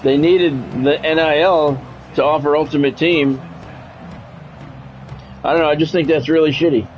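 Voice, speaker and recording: A man with a fairly high voice streams a sports game over dull, lo-fi audio with music playing behind him. He talks conversationally and with strong opinions, slipping between chat and play-by-play calls. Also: fairly even intonation, moderate pace. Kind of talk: casual livestream chat mixed with sports play-by-play.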